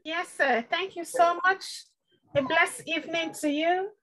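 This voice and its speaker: A woman with a high voice speaks over a video call. She is a student in an IT program and gives a devout, grateful testimony, warm in faith and quick to laugh softly. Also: low-quality recording. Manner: heartfelt and devout, with short laughs. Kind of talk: thankful testimony on a webinar.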